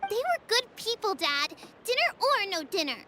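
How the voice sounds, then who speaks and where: A woman's voice: extremely high pitch, very animated, normal speed, heavy background noise. A woman voicing an earnest, plucky young daughter in a video game. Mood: defiant. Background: childlike tinkling music.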